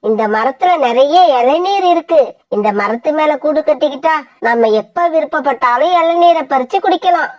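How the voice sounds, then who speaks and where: A woman's voice: high voice, lively intonation, moderate pace, distant mic. A woman voicing a stubborn, suspicious female crow in an argumentative, insistent way for a children's cartoon fable. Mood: eager. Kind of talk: cartoon voice acting.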